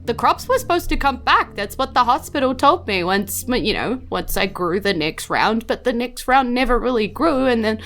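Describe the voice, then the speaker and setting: A New Zealand woman voicing an anxious, trapped farmer in improvised tabletop role-play, halting and stammering as she explains. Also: a little high, animated, fairly bright tone. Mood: defensive, worried. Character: anxious, troubled farmer trapped by a secret agreement. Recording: music bed under the voice.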